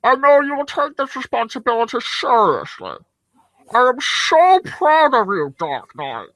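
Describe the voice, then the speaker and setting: Slow, high, swooping masked villain. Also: male voice, sing-song, sharp tone, dry recording, exaggerated voice, poor audio. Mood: grandiose, solemn. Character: Bane-style masked villain reading a proud father's letter.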